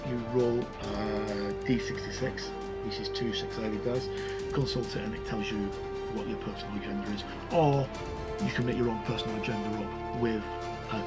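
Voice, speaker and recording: Halting male reviewer over background music. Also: mid-range voice. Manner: rambling, halting, unscripted. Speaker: presenter of a tabletop game book review. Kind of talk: informal walk-through review, partly reading aloud.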